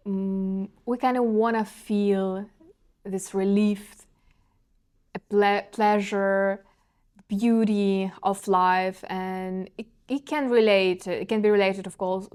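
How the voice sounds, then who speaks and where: A young woman with a Ukrainian accent, speaking English as a second language, muses aloud in a relaxed vlog. Her voice is smooth and clear at a middle pitch. She often stops to search for a word and starts the phrase again. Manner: searching for words, frequent restarts. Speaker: vlogger. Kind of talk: casual reflective monologue.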